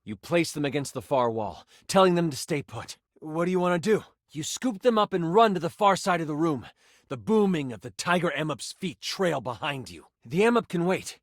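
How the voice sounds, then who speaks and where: A theatrical game master tells a fantasy battle as playful, expressive storytelling. His voice sits fairly high for a man and moves widely in pitch, recorded close and dry. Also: normal speed, no room sound. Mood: dramatic, urgent. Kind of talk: dramatic tabletop game narration in second person.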